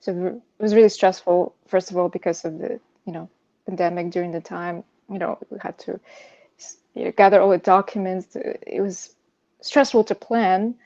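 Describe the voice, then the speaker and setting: A woman from Russia, a native Russian speaker, telling a personal story as an interview guest in a halting, searching way. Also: fairly low pitch, fairly even intonation, warm tone, lo-fi sound. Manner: hesitant, halting, frequent "you know". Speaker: podcast guest sharing personal insomnia story; pianist.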